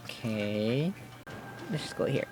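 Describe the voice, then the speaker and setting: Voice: medium pitch, expressive, takes it slowly, rough recording. A game streamer giving casual gameplay commentary in a bemused, reactive mutter. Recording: faint game music behind.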